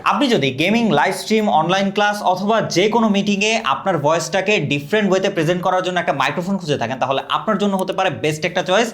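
A young man from Bangladesh giving an energetic, scripted product review and sales pitch. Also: racing, hardly any pauses.

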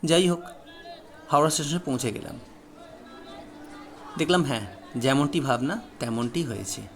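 A man telling a first-person horror story as a dramatic narrator, with suspenseful, deliberate phrasing. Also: a little high, a bit bright, some chatter behind, a little hiss or hum.

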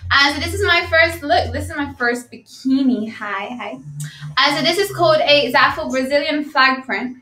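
Bright-voiced young British woman, echoey room. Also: high-pitched, moderate pace, recorded from a distance. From London, England. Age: 20s. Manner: chatty, informal. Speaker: live shopping co-host and model. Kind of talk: casual live-stream chat and product presentation. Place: indoor studio set. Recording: echoey room, off-mic, music.

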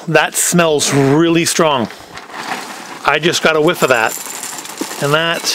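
A man giving a casual, unscripted product review as host, with wry, self-deprecating asides. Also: on the high side. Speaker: host of a food review show. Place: indoor tabletop set. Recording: clear background noise, packaging crinkles. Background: clicks and crinkling packaging.

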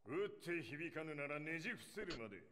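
A ruthless old martial arts tycoon in a game cutscene. He speaks quickly and imperiously, fairly high for a man, in a long reverb. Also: big pitch swings. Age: older. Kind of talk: video game cutscene acting. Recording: reverberant, quiet game audio with noise.

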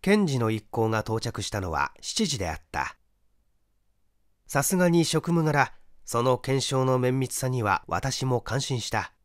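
A man reading a mystery story aloud as dramatic narration, measured and expressive with deliberate pauses. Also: medium pitch, no room sound, studio quality. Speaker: story reader, stage actor.

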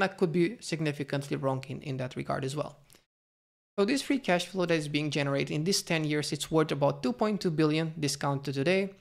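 Young man with a gravelly voice. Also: fairly high pitch. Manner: thinks aloud with fillers and restarts, cautious hedging. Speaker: finance video host. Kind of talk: explaining a stock valuation over slides.

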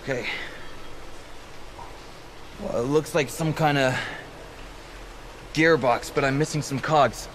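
An actor playing an earnest, determined young superhero sidekick in a video game, speaking in a terse, urgent, practical way. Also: male voice, mid-range voice, slow pace, pauses often, fairly bright tone. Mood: focused, dry. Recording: clear background noise.

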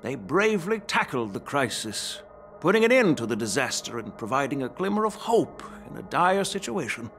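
A man voicing a genial older official in game dialogue with a gravelly voice. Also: medium pitch, big pitch swings, normal speed, a bit bright, faint music behind. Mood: relieved.

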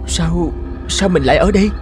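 A man's voice: fairly high pitch, moderate pace, lightly acted. A man voicing a sorrowful, mistreated young orphan in a cartoon fairy tale, earnest and lamenting. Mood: bitter, distressed. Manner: lamenting, plaintive. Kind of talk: animated fairy-tale dubbing. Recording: music under the voice.

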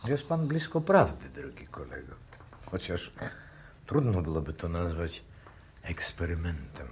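A very deep-voiced professor on a noisy old radio recording. He is cold and sardonic, philosophising deliberately, with wide swings in intonation. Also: sing-song, creaky, exaggerated voice. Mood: sardonic, measured. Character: cold, sardonic male professor, master of the house. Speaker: radio play actor. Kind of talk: 1960s Polish radio drama acting.

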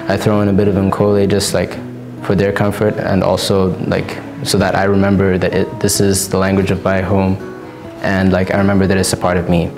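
A young man in his late teens, a student, calmly explaining his language portrait in a classroom. He talks in a relaxed way and often fills in with "like.". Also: deep voice, creaky. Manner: relaxed, explanatory, frequent "like" fillers. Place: classroom indoors. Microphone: lapel. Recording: guitar music underneath.

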